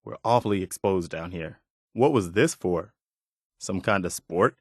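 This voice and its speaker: An actor playing an earnest, dutiful, plainspoken militia lieutenant in a post-apocalyptic video game. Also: male voice, low-pitched, a little slow, slightly rough, dry recording, studio quality. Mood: calm, wry. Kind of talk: video game character dialogue.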